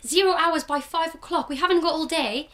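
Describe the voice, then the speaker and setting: A woman voicing a loud, excited little girl in a student radio drama. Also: very high-pitched, brisk, heavy background noise. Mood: eager. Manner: eager, breathless, giggly.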